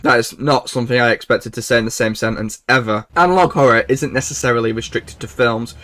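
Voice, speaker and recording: A man's voice: medium pitch, quick pace, fairly bright tone. A man hosting a video essay, reading informal scripted narration. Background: background music.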